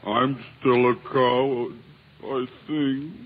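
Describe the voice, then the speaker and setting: A timid, bashful lion, speaking slowly and simply. It comes from a radio play with a tinny, noisy sound. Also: male voice, mid-range voice, fairly even intonation. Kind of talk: old-time radio drama acting.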